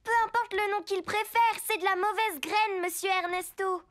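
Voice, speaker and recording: A woman's voice: extremely high pitch, fairly even intonation, moderate pace, noisy background. A woman voicing a bossy, sceptical little girl superhero leader in a cartoon, earnest and decisive. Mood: suspicious. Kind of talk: animated cartoon dub acting.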